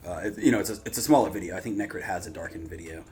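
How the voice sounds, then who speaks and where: A man's voice: low-pitched, very fast, crowd noise. A man hosting a YouTube reaction channel, talking casually in an informal, rambling, slangy way.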